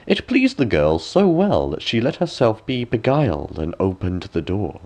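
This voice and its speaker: A man's voice: fairly low pitch, huge pitch swings, moderate pace, darkish tone. A man reading a fairy tale as a bedtime story, with dramatic, careful phrasing.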